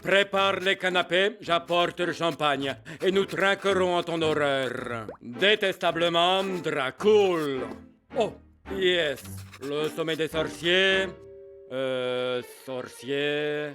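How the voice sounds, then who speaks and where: A pompous villain sorcerer from a cartoon, haughty and theatrical. He speaks very slowly in a slightly raised male voice, relishing his spite, over music. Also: fairly high pitch, fairly bright tone. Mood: gloating, spiteful. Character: haughty spiteful male sorcerer dictating poison-pen letters. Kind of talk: French animated film dubbing.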